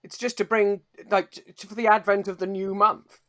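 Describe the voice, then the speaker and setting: Male improv player, fairly high pitch, comedy dialogue. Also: little pitch movement, normal speed, fairly bright tone.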